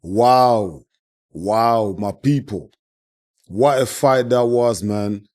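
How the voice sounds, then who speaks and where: A man giving a casual, passionate post-fight reaction as a channel host, his voice hoarse and worn out from shouting. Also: mid-range voice, extremely slow. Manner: passionate, repeats himself, keeps saying "man".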